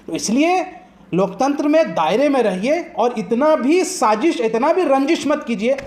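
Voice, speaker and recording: A man's voice: extremely high pitch, lively intonation, unhurried, darkish tone. A man arguing as a political commentator in a heated TV debate, impassioned and combative, firing off rhetorical questions.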